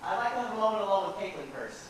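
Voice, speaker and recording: A man's voice: sits high, expressive, rapid-fire. Man acting an exasperated young grandson, echoey stage. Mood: exasperated, sarcastic. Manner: sarcastic, exasperated. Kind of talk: amateur stage comedy acting. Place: hall stage, distant camera. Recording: distant, echoey, very noisy stage recording.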